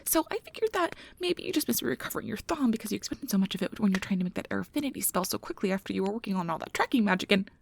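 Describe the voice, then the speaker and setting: A woman playing a nervous, caring young magic researcher in an ASMR audio drama, rambling in a flustered, halting, apologetic way. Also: mid-range voice, expressive, gravelly, husky.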